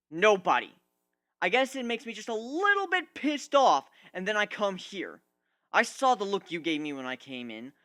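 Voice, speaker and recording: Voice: medium pitch, sharp tone, no room sound, clean studio sound, pitched above the performer's own voice. A narrator voicing a wounded, sarcastic young male griffin who rants emphatically in a storybook reading. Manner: ranting, emphatic, aggrieved.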